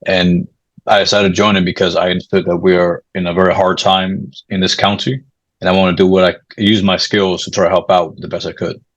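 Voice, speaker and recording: Low, flat-voiced young man from Peru. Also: a little fast, no room sound, dull audio. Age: young adult. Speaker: guest, club vice president. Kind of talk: interview self-introduction.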